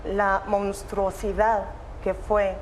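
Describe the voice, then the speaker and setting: A young adult woman, a student interviewed as an evacuee, speaking in an earnest, halting way and repeating phrases. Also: mid-range voice, level tone, a little hiss or hum. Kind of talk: emotional interview testimony.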